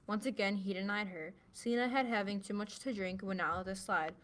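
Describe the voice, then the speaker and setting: A woman's voice: medium pitch, steady tone, a little fast, fairly bright tone. A woman acting as news reader, delivering a scripted amateur report.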